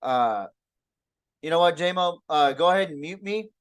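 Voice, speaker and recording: A man with a somewhat high voice co-hosts a live podcast. His talk is casual banter, loose and informal, with swearing thrown in freely. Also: animated, a little slow, clear, resonant tone. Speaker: podcast co-host.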